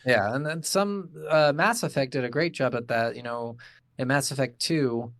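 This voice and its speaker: A man talks casually as a podcast host reviewing games. His voice sits at a middling pitch, and he is blunt and swears freely. The sound is very dry, with little room in it. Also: normal speed, fairly bright tone, dry recording. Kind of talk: casual video game review chat.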